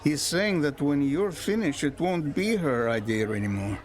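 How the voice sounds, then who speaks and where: A man's voice: a little high, expressive, moderate pace, close to an everyday voice. A man acting a sceptical drinking companion in a radio soap, with a dry, sarcastic delivery.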